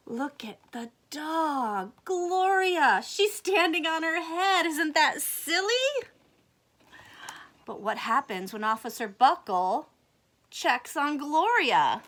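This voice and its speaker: A woman reads a children's story aloud in a bright, high voice. Her delivery is slow and swings widely in pitch, with an expressive, exaggerated manner aimed at young listeners. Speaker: storyteller reading a picture book to children. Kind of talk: animated children's read-aloud with asides and questions.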